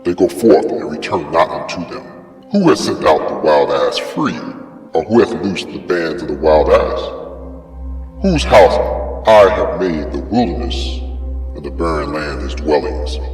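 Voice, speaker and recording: A man's voice: low voice, a little mellow. A man giving a solemn, theatrical dramatic reading of archaic scripture, posing grand rhetorical questions. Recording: echoey long reverb over music. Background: music.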